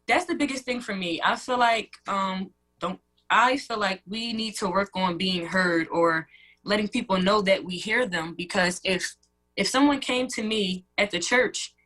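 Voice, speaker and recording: A woman's voice: medium pitch, fairly bright tone, low-quality recording. A woman in her early twenties giving her views as a guest in a radio interview, earnest and conversational. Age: early 20s. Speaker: student guest.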